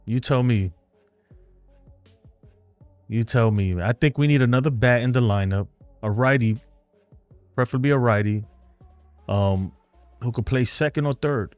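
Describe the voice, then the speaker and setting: A man's voice: mid-range voice, measured pace, pauses often, darkish tone, dry recording, soft background music. A man with a New York accent hosting a fan live stream, chatting loosely and rambling as he answers viewer comments. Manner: rambling, talkative, loose and frank.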